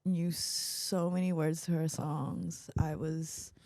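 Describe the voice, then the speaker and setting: A woman's voice: deep voice, flat delivery, takes it slowly, creaky, warm tone, no echo, studio quality. A young woman co-hosting a podcast, telling stories in casual, bubbly chat. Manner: chatty, bubbly storyteller with laughs. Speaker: podcast co-host.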